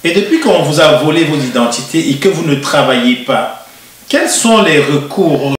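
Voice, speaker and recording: A man hosting a TV interview show, speaking in a measured way with frequent hesitations. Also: a little high, expressive. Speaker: TV show host. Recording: roomy echo, distant mic.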